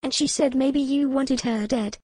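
Woman voicing a worried little girl, pleading, high and pinched, dry close audiobook read. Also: steady tone, unhurried, mellow, slightly rough, no room sound. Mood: anxious. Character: earnest anxious little girl, about seven.

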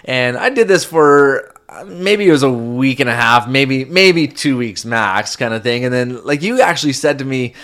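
A man's voice: medium pitch, lively intonation, moderate pace, a bit bright. A young adult man co-hosting a podcast with his wife, telling stories in an earnest way with many restarts. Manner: earnest, storytelling, frequent restarts and fillers. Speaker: podcast co-host. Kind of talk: casual conversation with spouse.

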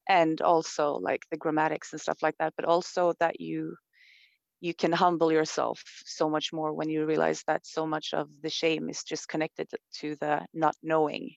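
A woman's voice: sits low, completely flat delivery, moderate pace, creaky, dry recording, dull audio. A woman from Sweden with a Swedish accent, speaking thoughtfully in an interview, with many fillers and restarts. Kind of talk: reflective conversation.

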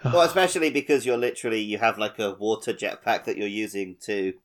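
A man's voice: on the low side, quick pace. A man co-hosting a gaming podcast in casual, joking conversation. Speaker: podcast co-host.

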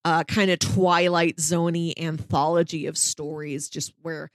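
A woman's voice: low voice, level tone, a little slow, dry recording, studio quality. A woman co-hosting a podcast, chatting casually and enthusiastically as she recommends things in a discursive, conversational way. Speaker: podcast co-host. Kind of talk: casual review chat, recommending audio dramas.

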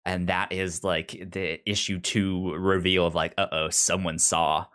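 Male podcast co-host, low voice, informal and conversational, slips into paraphrased dialogue while retelling stories. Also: level tone, unhurried, fairly bright tone, clear, resonant tone. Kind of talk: casual comic-book discussion.

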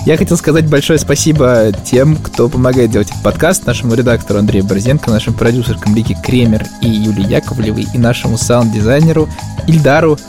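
A man's voice: medium pitch, fast talker, over music. A man in his thirties hosting a podcast, talking in a casual, conversational way. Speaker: podcast host. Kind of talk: casual chat.

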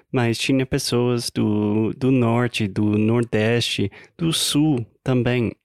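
A man's voice: medium pitch, no echo, clean studio sound. A man co-hosting a casual language podcast, speaking careful, slightly hesitant Portuguese as a non-native speaker. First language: not Portuguese. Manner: slow, careful learner's Portuguese. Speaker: podcast co-host.

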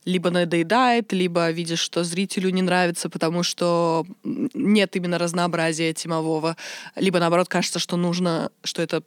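A man's voice: high voice, level tone, brisk, clean tone, no room sound, studio quality. A man in a casual podcast discussion, talking reflectively and searching for words.

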